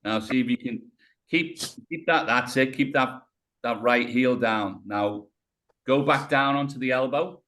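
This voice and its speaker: British guy in his 50s talking someone through rehab moves on a webcam, keeps saying mate, steady and encouraging. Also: medium pitch, measured pace. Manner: encouraging, talks a client through each movement step by step, says mate. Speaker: rehab coach. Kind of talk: coaching exercise over video call. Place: home room, on the floor. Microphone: video call webcam.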